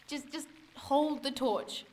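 Actress playing high-voiced, curious cave explorer. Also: female voice, takes it slowly, darkish tone. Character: curious, absorbed young woman adventurer exploring a cave. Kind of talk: audio drama acting.

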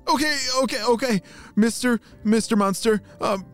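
A woman's voice: on the low side, sing-song, moderate pace, a little mellow, soft background music, pitched above the performer's own voice. A woman voicing an eager, curious young boy in a children's story, with exclamations and hesitant stammers. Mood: nervous, curious.

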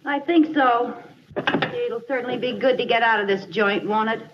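A woman plays a high-voiced housewife, stubborn and wounded, in a 1950s radio comedy. The recording is dull and lo-fi, with clear noise over it. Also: moderate pace, distant mic, close to an everyday voice. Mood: brisk, resigned. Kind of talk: 1950s radio sitcom acting.

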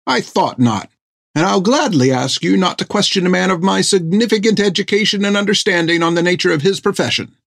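A man's voice: high-pitched, expressive, brisk, vocal fry, fairly bright tone, no echo, clean studio sound, voice pushed up, full character voice. A man voicing a fussy, pompous male ship's doctor who speaks in a prim, indignant way.

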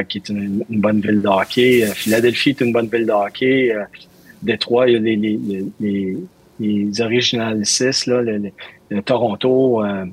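A man's voice: lowish voice, slightly rough, poor audio. A man from Montreal with a Quebec French accent answering interview questions as a hockey coach, hesitating and repeating words as he searches for them.

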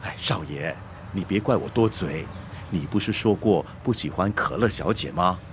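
A man's voice: low-pitched, lively intonation, normal speed, soft background music. A male actor in a radio drama playing a deferential, meddling, worried manservant, hesitant and fussy. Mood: curious, hesitant.

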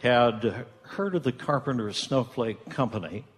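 Male narrator, mid-range voice, measured and tongue-in-cheek with theatrical pauses, for live radio theatre. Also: medium pitch, faint music behind. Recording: reverberant hall, live stage.

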